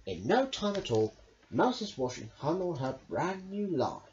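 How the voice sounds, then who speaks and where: A woman's voice: deep voice, measured pace, a little hiss or hum. A woman reading a children's picture book aloud, expressively, as a storyteller. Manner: expressive, with big swoops into character voices. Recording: dull lo-fi home recording.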